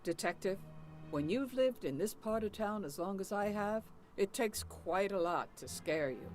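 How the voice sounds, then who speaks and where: A woman's voice: medium pitch, animated, measured pace, a little mellow, soft background music, natural voice. A woman playing an unflappable, proper older widowed shopkeeper in an adventure game, courteous and formal but guarded. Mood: guarded, firm. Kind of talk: voiced adventure game dialogue.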